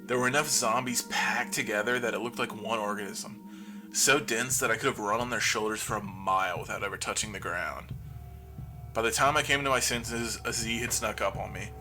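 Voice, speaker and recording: A man's voice: on the low side, fairly quick, over music, natural voice. A man playing an injured, lonely survivor of a zombie apocalypse, confiding wryly and with swearing over a makeshift radio broadcast in an audio drama monologue. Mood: tense recounting.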